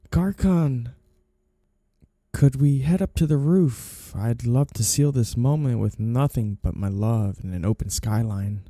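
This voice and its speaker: A man's voice: medium pitch, creaky, dark tone, dry recording, clean studio sound. A man playing a flirty, two-faced mafia boss in an ASMR period roleplay, with coy, teasing lines and sugary endearments. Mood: sweetly gushing.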